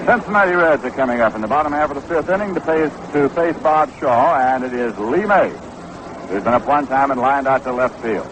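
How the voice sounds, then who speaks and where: A man's voice: mid-range voice, expressive, fairly quick. Noisy vintage radio baseball announcer, American man. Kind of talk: 1960s radio sports commentary.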